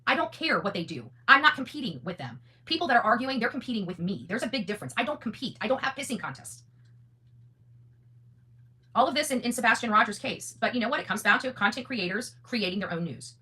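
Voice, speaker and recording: A woman's voice: fairly high pitch, lively intonation, fast talker, sharp tone. A woman hosting an unscripted, opinionated monologue, blunt and defiant, often repeating phrases for emphasis and swearing freely. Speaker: online content creator and host.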